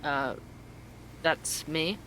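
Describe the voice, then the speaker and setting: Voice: mid-range voice, very slow, sharp tone, rough recording. An actor playing a tired, earnest investigative journalist who hosts a podcast, in naturalistic audio drama. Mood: weary.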